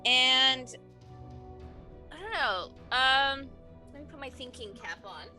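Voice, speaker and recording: Bright-voiced, chatty, enthusiastic woman. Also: sits high, expressive, slow. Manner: chatty, informal, enthusiastic, swears freely. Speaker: player on a roleplaying stream. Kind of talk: casual chat. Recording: faint music behind. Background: music.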